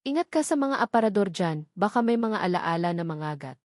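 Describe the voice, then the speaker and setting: Old woman cook in a radio-style drama, slow and teasing, cryptic warnings, as read by a woman narrator. Dry, close sound. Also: mid-range voice, animated, darkish tone, no room sound, lightly acted.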